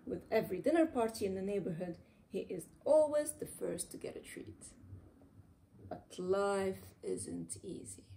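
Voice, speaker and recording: Animated woman reading a storybook, mellow voice. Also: mid-range voice, measured pace, creaky, slight background noise, low-quality recording. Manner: expressive, dramatic storytelling with playful emphasis. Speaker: children's author reading her own book aloud. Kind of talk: animated storybook read-aloud.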